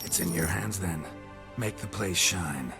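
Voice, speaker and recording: Fantasy game monster hunter: low register, terse, practical, gruff understatement over music. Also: male voice, a little slow. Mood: casual, dry. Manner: terse, clipped, understated phrasing. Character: laconic, gruff male monster-hunter, practical estate owner. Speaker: voice actor. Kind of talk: video game cutscene acting. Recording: music clearly behind the voice.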